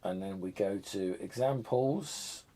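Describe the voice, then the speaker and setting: A man hosts a live stream, still a bit congested and coughing from a cold. He rambles and thinks out loud while he tinkers, poking fun at his own disorganisation, and his voice now and then drifts back from the mic. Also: sits low, slow. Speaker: retro computer channel host. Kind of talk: casual live stream chat.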